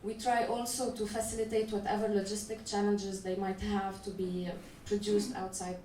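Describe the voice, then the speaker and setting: A woman speaking on a conference panel as a festival organizer, measured and halting, with frequent "uh" restarts. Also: mid-range voice, little pitch movement, mellow. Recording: echoey room, voice from a distance, faint noise.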